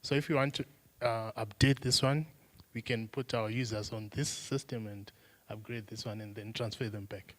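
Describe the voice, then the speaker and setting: A man's voice: fairly low pitch, fairly even intonation, normal speed, a bit bright. A man taking part in a workshop, explaining something from his own experience with some hesitant restarts. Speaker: workshop participant. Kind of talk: answering in a workshop.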